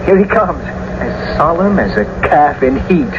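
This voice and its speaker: An actor playing a witty, mocking young gallant in a radio drama, flamboyant and teasing. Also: male voice, fairly high pitch, lively intonation, unhurried. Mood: mocking, merry. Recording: noisy, muffled old radio broadcast recording.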